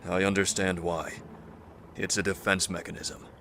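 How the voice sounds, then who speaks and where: An actor plays a steadfast male doctor with a low, bright-edged voice. He is calm, earnest and measured as he consoles an old friend in an audio drama, over faint background noise. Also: vocal fry. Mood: concerned, gentle.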